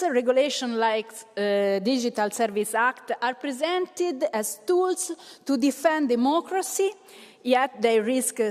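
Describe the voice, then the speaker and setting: A woman's voice: a little high, long reverb tail. A woman interpreting a political speech in a large chamber, with frequent "uh" fillers. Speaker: simultaneous interpreter in a parliamentary debate.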